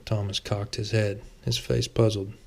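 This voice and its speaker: Low, creaky-voiced man narrating a novel. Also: little pitch movement, normal speed, a little mellow. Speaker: author reading his own novel. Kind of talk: audiobook-style scripted narration.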